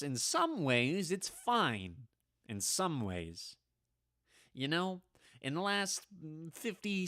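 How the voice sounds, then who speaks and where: Man playing a slow, stammering, henpecked old husband. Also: fairly high pitch, pushed and bright, dry recording, clean studio sound, exaggerated voice. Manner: stammering, hesitant, flustered. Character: nervous, henpecked old man recalling his witch wife. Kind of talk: old-time radio drama storytelling.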